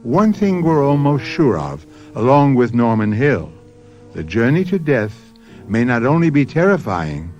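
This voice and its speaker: A male host giving a measured, reflective scripted closing commentary for a radio drama. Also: mid-range voice, big pitch swings, darkish tone, highs cut off, soft background music.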